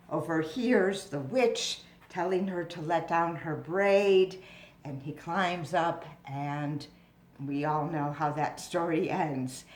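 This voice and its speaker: A woman with a slightly low voice gives an informal, explanatory craft demonstration. She takes her time, speaking in a measured way as a presenter to parents. The recording is roomy and echoey, with clear background noise.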